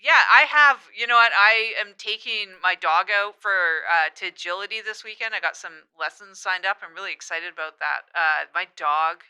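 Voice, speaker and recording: A woman's voice: medium pitch, normal speed, few pauses, pushed and bright, clear, resonant tone, poor audio. A woman playing an eager, oblivious chatterbox who rambles on in an improvised comedy dialogue. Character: oblivious, over-chatty woman who will not end conversation.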